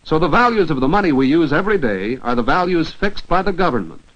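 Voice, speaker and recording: A man narrates a 1940s educational film in an American accent, on a dull old soundtrack. His pitch moves in lively sweeps as he instructs and enunciates each word precisely. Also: fast. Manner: didactic, rhetorical questions, precise enunciation.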